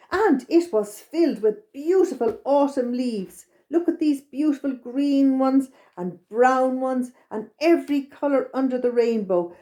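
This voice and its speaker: Irish lady doing kids storytime, highish soft mellow voice, really animated, takes her time. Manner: exclaiming, repeating, pointing things out to young listeners. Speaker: children's storyteller. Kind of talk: expressive storytime narration.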